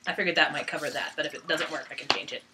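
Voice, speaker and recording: A woman's voice: sits low, rapid-fire, heavy background noise. A woman writer casually explaining her own script in a group discussion.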